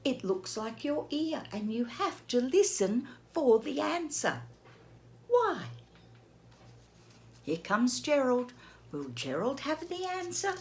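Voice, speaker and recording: A woman teacher reading a picture book aloud to children, gently pausing to ask the listener questions. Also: sits high, big pitch swings. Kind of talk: children's read-aloud lesson.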